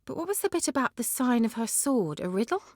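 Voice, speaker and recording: An actress playing a self-assured, coy and haughty young sorceress in a video game, with articulate, teasing, theatrical delivery. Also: female voice, fairly high pitch, sing-song, fast talker, a little mellow, dry recording, clean studio sound. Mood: composed, matter-of-fact. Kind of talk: video game character acting.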